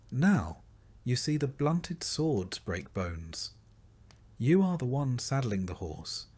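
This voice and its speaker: Low-voiced man narrating history. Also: darkish tone. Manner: measured, dryly wry storytelling. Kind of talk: scripted second-person narration.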